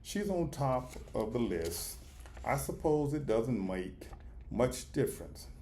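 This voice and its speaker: A man reading the part of a weary, pragmatic female shelter manager in a children's storybook, in a measured, resigned way. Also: mid-range voice, sing-song, dark tone, a little hiss or hum, pitched above the performer's own voice.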